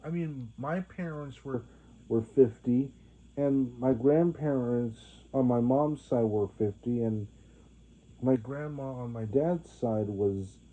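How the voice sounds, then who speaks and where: A man in his twenties rambling to his viewers in a monologue, halting often with restarts and fillers. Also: medium pitch. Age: 20s. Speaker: vlogger. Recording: phone-like narrow sound.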